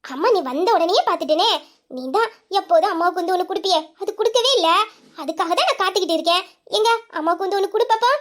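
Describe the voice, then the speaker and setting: A woman acting a gentle, affectionate young wife and new mother in a melodramatic audio drama. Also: very high-pitched, animated, fast talker. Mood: doting.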